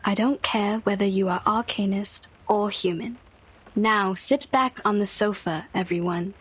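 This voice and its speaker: A woman voicing a stern, composed and quietly menacing authority figure, measured and commanding, in a video game. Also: medium pitch, slightly rough, low-quality recording. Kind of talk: dramatic video game voice acting.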